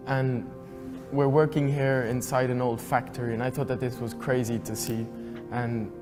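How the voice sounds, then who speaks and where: A young man from Sweden speaking earnestly as a student in a recorded testimonial. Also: mid-range voice. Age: young adult. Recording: long reverb with loud music behind. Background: music.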